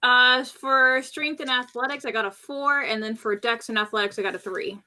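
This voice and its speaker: Woman player in a tabletop rpg, sharp bright voice a bit on the high side, casual talk. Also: normal speed. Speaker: player in a tabletop roleplay game. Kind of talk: casual game chat.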